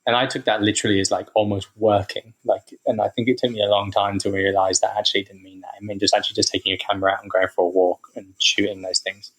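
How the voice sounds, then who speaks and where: A man talking as a photographer guest in a podcast interview, relaxed and rambling, with lots of fillers. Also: on the low side, fast talker, hardly any pauses, slightly rough, poor audio. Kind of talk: casual interview chat.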